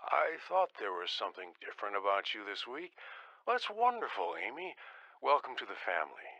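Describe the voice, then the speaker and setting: An actor playing a friendly, encouraging church elder on a telephone in an audio drama, folksy and upbeat. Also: male voice, on the low side, wildly swooping pitch, moderate pace, bright, forceful tone, no room sound, exaggerated voice, poor audio.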